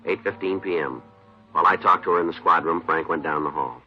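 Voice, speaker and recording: An actor playing a terse police detective in a 1950s radio crime drama, clipped and matter-of-fact, on a dull vintage recording. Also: male voice, deep voice, fairly quick, soft background music. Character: terse, businesslike male police detective, narrating his case.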